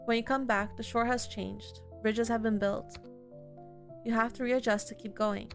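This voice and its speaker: High-voiced woman reading game dialogue. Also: steady tone, normal speed, vocal fry, soft background music. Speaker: streamer playing a visual novel. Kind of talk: reading game dialogue aloud with casual reactions.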